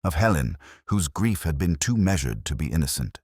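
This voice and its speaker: A man narrating a mystery drama as a brooding, reflective detective inspector, in a measured, solemn and literary delivery. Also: very deep voice, very animated, a little mellow, husky, dry recording, clean studio sound, heavily acted. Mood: grave, weary. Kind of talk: scripted first-person mystery narration.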